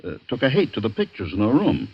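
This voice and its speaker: An actor playing a middle-aged guardian, polite but disapproving and insinuating, in 1940s radio drama. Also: male voice, medium pitch, wildly swooping pitch, quick pace, breathy edge, full character voice. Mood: grave, disapproving. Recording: dull vintage radio recording.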